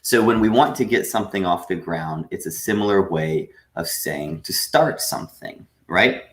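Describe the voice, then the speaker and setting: A man teaching a live online English lesson, patiently explaining with frequent pauses. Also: sits low, lively intonation, reverberant. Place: room at home.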